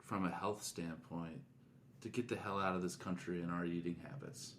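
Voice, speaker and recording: A man's voice: deep voice, steady tone, normal speed, slight background noise. A man co-hosting a podcast, speaking in a casual conversational style. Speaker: podcast co-host.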